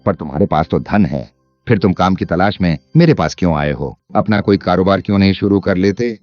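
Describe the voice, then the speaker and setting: A very deep-voiced, cunning landlord from a cartoon moral tale, acted by a man. He is greedy and speaks with sly emphasis. The sound is slightly dull, with music underneath. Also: brisk, natural voice. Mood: probing. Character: cunning, greedy village landlord, scheming and falsely wronged. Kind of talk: animated moral story character acting.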